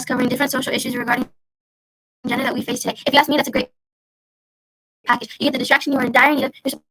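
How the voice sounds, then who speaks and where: Eager old-time radio host, acted by a woman: high voice, fast, chatty delivery. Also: a bit bright, husky, heavily acted, poor audio. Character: eager, chatty radio announcer visiting a storyteller. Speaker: announcer and host.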